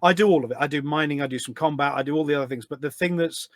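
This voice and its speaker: Male podcast guest, enthusiastic rambler with a fairly high voice, casual interview chat, close dry recording. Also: rapid-fire, no echo. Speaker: podcast guest, gaming community event organiser.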